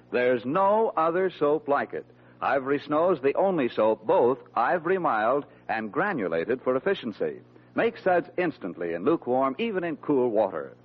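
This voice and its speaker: Husky, animated American ad announcer man. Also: medium pitch. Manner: smooth persuasive salesmanship. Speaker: commercial announcer. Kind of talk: 1940s radio advertisement read. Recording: muffled old radio recording.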